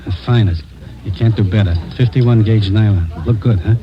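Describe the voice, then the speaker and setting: Actor as low-voiced, chummy street peddler. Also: male voice, moderate pace, a crowd in the background, natural voice. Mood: coaxing. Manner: coaxing sales patter. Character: chummy male street peddler hawking cheap goods. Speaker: actor in radio drama. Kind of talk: 1940s radio acting. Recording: dull vintage radio recording with music.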